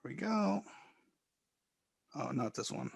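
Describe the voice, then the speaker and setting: A man calmly walking viewers step by step through charts and trading software on a live stream. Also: fairly high pitch, slow, creaky, breathy edge, no echo. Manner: calm, explanatory, step-by-step. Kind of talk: live screen-share trading walkthrough.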